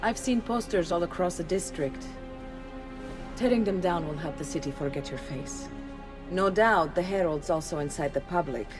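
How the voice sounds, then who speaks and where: An actress playing a composed courtesan mentor who gives measured advice. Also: female voice, fairly low pitch, over music, close to an everyday voice. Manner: calm, measured, advising. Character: composed female courtesan mentor, worldly and calm.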